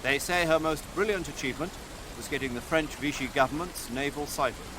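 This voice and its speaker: An actor playing a sombre, well-informed military adviser in a video game, giving briefings in a measured, grave way. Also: male voice, a little high, noisy background, close to an everyday voice. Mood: informative.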